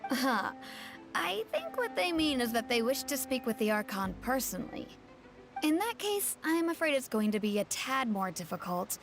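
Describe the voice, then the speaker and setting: A woman's voice: high-pitched, very animated, normal speed, music in the background. A woman voicing a proper, law-minded townswoman with a prim, earnest manner.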